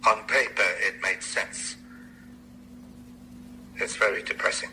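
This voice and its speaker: An actor playing a grave, dejected medical researcher in a radio drama, halting and formal in his phrasing. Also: on the low side, fairly even intonation, sharp tone, slight background noise, exaggerated voice, poor audio. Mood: dejected, apologetic.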